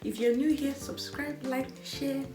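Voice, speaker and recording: A woman's voice: high voice, level tone, unhurried, warm tone, reverberant, faint music behind. A young woman with a Nigerian accent hosting her own channel, chatting frankly and with animation. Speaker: vlogger host. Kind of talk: casual chat.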